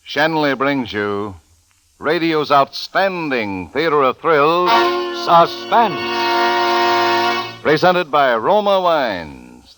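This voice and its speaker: A man introducing a 1940s radio program in a grand, measured, portentous way. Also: mid-range voice, a bit bright, no room sound. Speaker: program announcer. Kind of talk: dramatic 1940s radio announcing.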